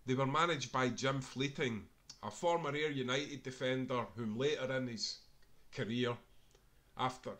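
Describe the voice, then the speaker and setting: Man, Scottish accent, author narration, scripted book reading, sharp bright voice, medium pitch. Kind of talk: scripted narration, audiobook reading of sports history.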